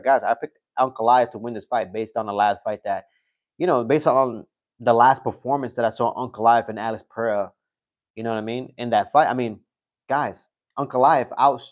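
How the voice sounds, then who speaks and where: Rambling man on a lo-fi stream. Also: medium pitch. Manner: rambling, repeats himself, fillers and profanity. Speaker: livestream host. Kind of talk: casual live-stream commentary.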